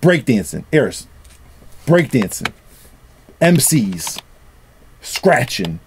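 A man's voice: mid-range voice, sing-song, slow, slight background noise. A man in his thirties hosting a casual studio session, teasing and joking with his guests and swearing freely. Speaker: host. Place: small music studio indoors.

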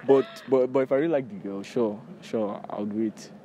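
A man's voice: mid-range voice, brisk, dark tone. A young man with a Nigerian accent giving casual answers in an outdoor interview. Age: young adult. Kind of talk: casual chat. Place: outdoors on campus. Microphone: handheld device held by interviewer. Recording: clearly noisy outdoor recording.

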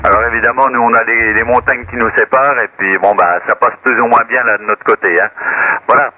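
A man's voice: mid-range voice, steady tone, a little fast. A man from France chatting and explaining casually as an amateur radio operator, through a two-way radio transmission. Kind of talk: casual radio group chat. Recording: muffled noisy shortwave radio transmission.

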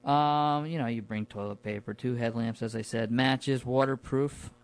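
A man with a middle-pitched voice talks informally, as if swapping stories with a buddy. His wording is loose and colloquial, and he recounts things in an easy conversational way. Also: steady tone, normal speed. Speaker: podcast guest recounting his camping trips. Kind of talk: casual conversational storytelling.

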